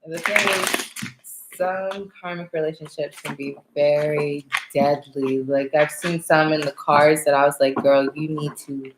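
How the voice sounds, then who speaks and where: Woman guest, candid casual chatter, low voice, echoey room. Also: fairly even intonation, measured pace, background noise. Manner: candid, laughing, confessional. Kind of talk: casual chat.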